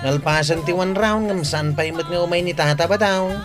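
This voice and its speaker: A man acting as a village night watchman in a radio drama, chatting as to a patrol mate. His voice sits fairly high, with a northern Philippine accent, and music plays clearly behind it. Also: fairly quick, lightly acted. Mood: uneasy.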